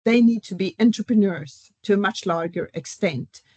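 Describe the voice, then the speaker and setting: A middle-aged professor, speaking as a guest in an academic panel discussion. She explains at a measured pace, with frequent fillers. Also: mid-range voice, dark tone, no room sound, muffled recording. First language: Swedish.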